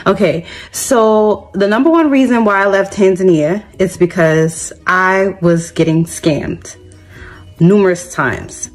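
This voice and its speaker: A woman explaining her experience casually to viewers, in a deliberate, hesitant way. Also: mid-range voice, faint music behind.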